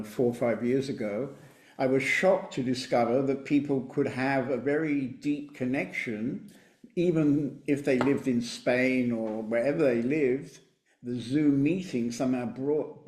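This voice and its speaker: A spiritual teacher leading an online meditation group, speaking in a calm, measured way and often affirming with repeated "right, right". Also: male voice, medium pitch, reverberant. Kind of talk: relaxed group-meeting talk. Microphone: computer mic on a video call.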